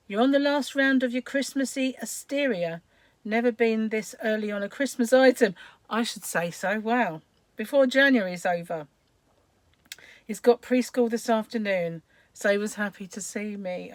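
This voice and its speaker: A woman hosting a crochet livestream, chatting casually and greeting viewers by name, her voice croaky today with frequent throat clearing. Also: medium pitch, no echo. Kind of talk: casual live-stream chat while crocheting.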